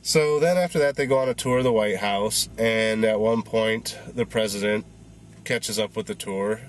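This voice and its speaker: Casual male reviewer in his thirties, stuffy and congested, low voice, loose and rambling. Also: normal speed. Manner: rambling, filler-heavy. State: congested, recovering voice. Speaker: movie reviewer.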